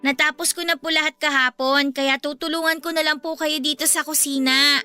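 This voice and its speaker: A woman's voice: sits high, fairly even intonation, fairly quick, pushed and bright, soft background music. A woman playing a polite, earnest young eldest daughter of about ten in a radio drama, anxious to please. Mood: eager, concerned.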